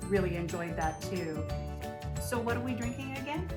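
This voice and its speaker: A woman's voice: mid-range voice, expressive, normal speed. A middle-aged woman co-hosting in a casual chat. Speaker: co-host. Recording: roomy, loud guitar music.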